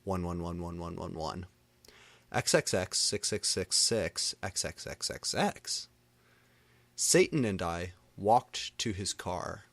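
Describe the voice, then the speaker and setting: Low-voiced guy reading fanfic out loud real slow, comedic and dramatic, no room echo. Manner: reads misspellings and punctuation literally. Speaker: fan fiction reader. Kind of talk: dramatic comedic reading aloud of fan fiction.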